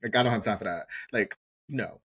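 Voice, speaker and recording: A man's voice: fairly low pitch, fairly quick, a bit bright, breathy edge. A man co-hosting a casual recap podcast, talking in a slangy, emphatic way with free swearing and repeated phrases. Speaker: podcast co-host. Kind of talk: casual chat, TV show recap.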